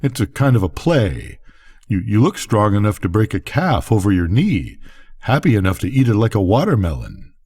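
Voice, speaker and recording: A well-meaning rancher husband from a storybook, played by an older man reading aloud. He is bewildered, hesitant and folksy, with a husky voice and a very lively pitch. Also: moderate pace, full character voice. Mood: helpless.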